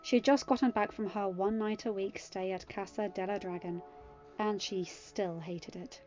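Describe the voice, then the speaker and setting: A woman's voice: mid-range voice, steady tone, moderate pace, darkish tone, muffled recording, faint music behind. A woman reading scripted fiction as an audiobook narrator.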